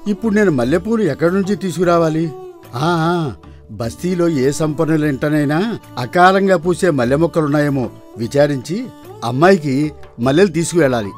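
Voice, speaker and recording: A man playing a kindly, anxious village farmer and father in an animated folktale, exclaiming and talking aloud to himself. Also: fairly high pitch, moderate pace, faint music behind, close to an everyday voice. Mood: worried, flustered. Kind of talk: dramatised animated folktale dialogue.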